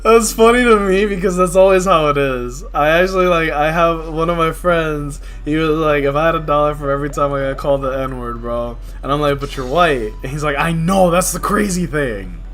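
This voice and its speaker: A man's voice: on the high side, moderate pace, hardly any pauses, a bit bright. A young man from New City, talking casually and candidly in slangy, conversational livestream chat. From New York City. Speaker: game livestreamer. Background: music.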